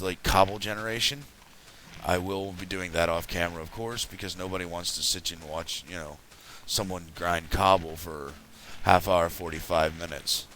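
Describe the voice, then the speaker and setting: Low, raspy-voiced man, just woken up. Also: a bit bright, slight background noise. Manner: rambling, chatty, self-correcting. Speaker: let's play host. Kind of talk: casual gameplay commentary.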